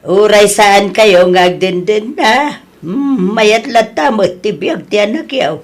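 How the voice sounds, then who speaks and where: A woman's voice: mid-range voice, animated, takes it slowly. A woman acting an older Ilocano mother in a drama, plain-spoken, advising and consoling her grown child. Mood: gentle, resigned.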